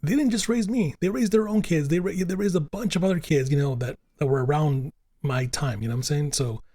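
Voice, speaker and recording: A man's voice: on the high side, fairly quick, warm tone. A man whose first languages were Italian and Spanish, talking earnestly on a podcast and repeating himself for emphasis. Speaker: podcast co-host. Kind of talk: casual podcast chat.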